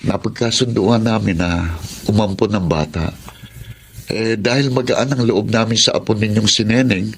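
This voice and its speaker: A man's voice: fairly low pitch, steady tone, normal speed, slight background noise, natural voice. A man playing a polite, persuasive neighbour in a Filipino radio drama. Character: persuasive, soft-soaping male neighbour seeking to adopt.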